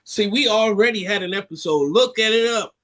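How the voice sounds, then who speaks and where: A man's voice: sits high, brisk. A man with a Jamaican accent, co-hosting a casual podcast chat, joking and acting out quotes as he tells stories. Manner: animated storyteller, joking, acts out quotes. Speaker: podcast co-host.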